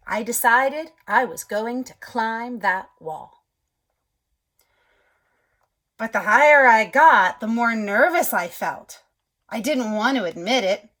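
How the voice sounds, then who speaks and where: A woman voicing a timid but hopeful young male egg in a storybook, speaking softly and dropping to a whisper at scared moments. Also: on the high side.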